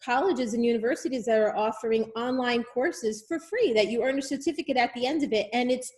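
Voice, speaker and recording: A woman's voice: fairly high pitch, fairly quick, a little mellow. A woman with a New York accent, a guest chatting on a video call, emphatic and given to repeating herself. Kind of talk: casual video call chat.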